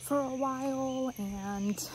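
A young adult woman talking casually and excitedly to camera as a vlogger, in informal, chatty speech. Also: high voice, takes it slowly, a little hiss or hum, low-quality recording.

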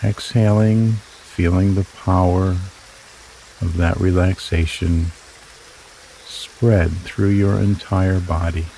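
A man guiding a relaxation meditation in slow, soothing, drawn-out phrases. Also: low voice, fairly even intonation, vocal fry, warm tone, slight background noise, rough recording. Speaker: meditation guide. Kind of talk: guided meditation narration.

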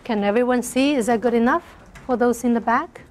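A soft-spoken middle-aged woman lecturing on math in a large hall, often checking in with her class. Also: on the high side, a little fast, slight background noise. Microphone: lapel mic.

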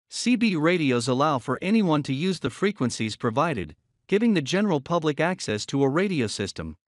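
Male voice-over narrator, slightly high and animated, dry studio sound, reads a numbered list. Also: no echo.

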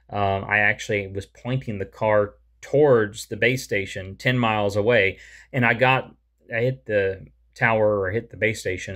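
Man, 30s, lowish voice, rambling hobbyist vlogger, filler-heavy, close camera in a home room. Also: fairly bright tone. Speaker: holster maker and radio hobbyist. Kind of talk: casual talking-head explanation. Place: small room at home with a wall map. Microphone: camera close at face level.

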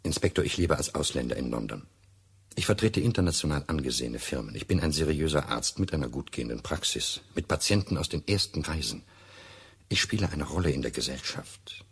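An actor playing a courteous but bitter betrayed doctor in a radio drama, gravelly, formal and emphatic. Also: male voice, very deep voice, lively intonation, brisk, full character voice. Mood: bitter, indignant. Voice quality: gravelly, husky. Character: courteous, bitter, self-righteous American doctor, betrayed husband. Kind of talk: German radio drama acting.

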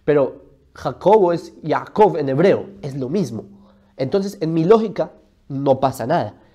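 A man's voice: fairly high pitch, lively intonation, measured pace, dark tone. A man, arguing his point in a video monologue, emphatic and full of rhetorical questions, recorded on a lapel mic in a studio. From Colombia. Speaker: religion video host. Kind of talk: argumentative explainer monologue.

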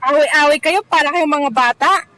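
A woman's voice: high-pitched, moderate pace, pushed and bright. A woman playing a scolding, peacemaking teenage schoolgirl in an amateur student radio drama.

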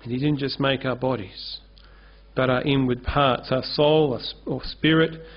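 A pastor in his forties delivers an earnest sermon from a church stage, gesturing as he speaks into a lectern microphone. His voice sits at an ordinary middle pitch. The recording is somewhat dull and lo-fi. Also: fairly even intonation, normal speed. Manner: earnest, gestures freely while preaching at a lectern. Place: church stage with curtain backdrop. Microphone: lectern-mounted microphone.

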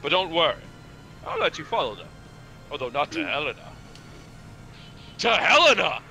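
A man's voice: a little high, huge pitch swings, moderate pace, vocal fry, pushed and bright, faint music behind, pitched below the performer's own voice, heavily acted. A man voicing a smug, villainous army commander in a game, pompous and taunting.

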